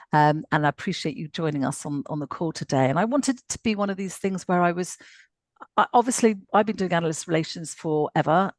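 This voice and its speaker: A woman hosting a webinar panel, chatty and warm in tone with repeated words and fillers. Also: low-pitched, no echo, clean studio sound. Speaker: webinar host. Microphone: video call.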